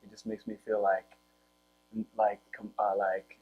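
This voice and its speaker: A teenage young man with a Congolese accent answering interview questions casually and haltingly, in informal speech. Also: on the low side, completely flat delivery. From Democratic Republic or Republic of the Congo. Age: teens. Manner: halting, informal, leans heavily on "like". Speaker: high school football player being interviewed. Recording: muffled, with faint electrical hum.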